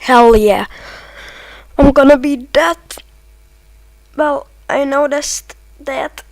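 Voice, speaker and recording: A Lithuanian-accented woman talks through a video game at an unhurried, slow pace. Her voice sits a little high, and she breaks into excited reactions as she plays. The recording is dry and close, with no room sound. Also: no echo, poor audio. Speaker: let's play gamer. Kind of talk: casual gameplay commentary.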